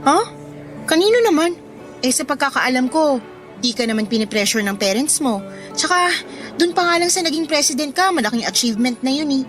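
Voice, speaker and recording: A woman's voice: high-pitched, animated, fairly quick, fairly bright tone. A woman playing a modest, self-doubting teenage schoolgirl in a Filipino radio drama, speaking in an earnest, conversational, pleading way with a Filipino accent. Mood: concerned, questioning. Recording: music under the dialogue.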